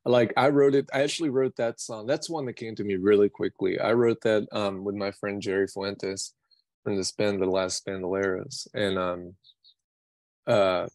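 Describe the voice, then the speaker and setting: Low-pitched American man, rambling and self-deprecating. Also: fairly even intonation, normal speed, a little mellow, no echo. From New York City, United States. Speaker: singer-songwriter being interviewed. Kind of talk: casual interview chat.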